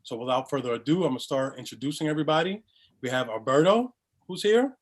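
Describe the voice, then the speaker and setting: A man opens an online session as host, speaking casually with plenty of ums. His voice stays mid-pitched and easygoing. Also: fast talker. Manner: relaxed, upbeat, many fillers. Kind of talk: casual welcome and introductions.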